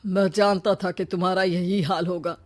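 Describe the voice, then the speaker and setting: A woman playing a steady, forgiving middle-aged father, reproachful yet reassuring. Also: on the low side, normal speed, close to an everyday voice.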